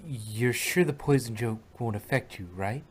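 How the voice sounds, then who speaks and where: A man's voice: mid-range voice, normal speed, breathy edge, close to an everyday voice. A man voicing an earnest, friendly young female unicorn pony in a fan fiction reading.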